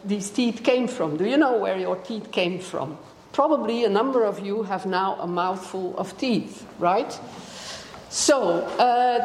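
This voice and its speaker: A woman, a native Dutch speaker with a Dutch accent, gives a scientific lecture to a broad audience in a hesitant, explanatory way with frequent pauses. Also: mid-range voice, a little mellow. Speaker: academic guest lecturer. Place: large indoor lecture room. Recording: echoey lecture hall with long reverb, background noise.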